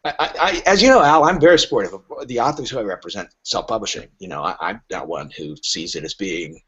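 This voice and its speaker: A man's voice: fairly low pitch, fast talker, slightly rough. A man speaking casually as a literary agent guest on a podcast, telling stories animatedly and acting out bits of dialogue. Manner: animated storyteller who acts out imagined dialogue. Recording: dull, lo-fi podcast audio.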